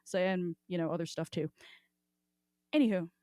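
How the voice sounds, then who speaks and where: A woman's voice: lowish voice, normal speed, dark tone, dry recording, studio quality. A woman hosting a casual podcast chat, talking in an irreverent, informal, sweary way. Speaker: podcast host.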